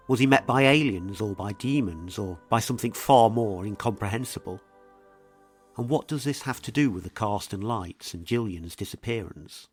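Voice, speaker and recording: A man presenting a scripted mystery documentary in a measured, ominous, suspenseful way, with deliberate pauses. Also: mid-range voice, husky. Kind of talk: scripted dramatic documentary narration. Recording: music behind the voice. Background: music.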